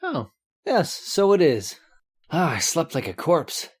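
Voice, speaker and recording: An actor playing a weary, fretful convict forger in a radio horror drama, plaintive and uneasy. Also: male voice, on the high side, wildly swooping pitch, slow pace, husky, heavily acted. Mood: parched, plaintive. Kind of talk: old-time radio horror acting.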